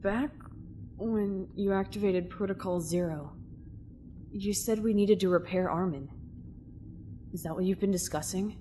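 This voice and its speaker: A woman's voice: mid-range voice, unhurried, pauses often, mellow, a little hiss or hum, lightly acted. A woman playing an earnest, lonely young male cadet in a sci-fi drama, pleading with emotion. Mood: questioning. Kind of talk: sci-fi audio drama acting.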